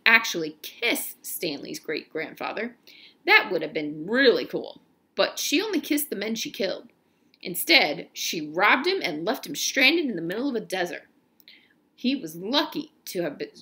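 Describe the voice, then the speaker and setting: A woman reading a children's novel aloud, somewhat haltingly, often stopping to correct herself. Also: medium pitch, sharp tone. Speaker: read-aloud narrator.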